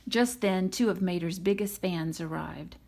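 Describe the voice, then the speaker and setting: A woman narrates a children's story with expression. Her voice sits slightly low, and she reads very slowly. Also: vocal fry, darkish tone. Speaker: storybook reader.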